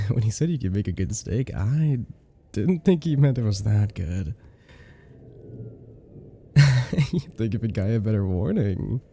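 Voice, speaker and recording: A man playing an affectionate, teasing boyfriend in an intimate ASMR roleplay, with a soft, playful, drawn-out delivery. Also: on the low side, gravelly, slight background noise, heavily acted. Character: affectionate, teasing new boyfriend cuddling his girlfriend.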